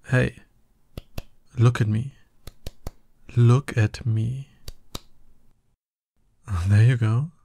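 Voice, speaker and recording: A man's voice: medium pitch, steady tone, drawn-out words, vocal fry, pauses often, mellow, slight background noise. A man playing a smug, dominant villain in an ASMR roleplay, taunting his hero nemesis with drawling exasperation. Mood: tense, exasperated.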